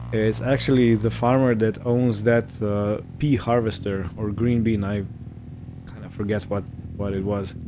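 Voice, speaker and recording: A man giving casual roleplay gameplay commentary in a hesitant, rambling, unhurried manner. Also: on the low side, noisy background. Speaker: gaming video host.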